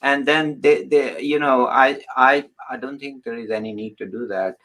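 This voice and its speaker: A man hosting an interview who talks haltingly, restarting his sentences and adding encouraging remarks. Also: medium pitch, fairly even intonation, a bit bright. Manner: halting, with many restarts and filler words. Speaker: host.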